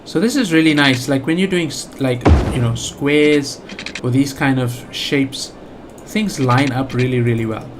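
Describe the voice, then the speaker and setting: A man's voice: mid-range voice, measured pace, hardly any pauses, noisy background. A man with a South African accent giving casual let's play commentary, thinking aloud with lots of fillers and restarts. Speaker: gaming channel host.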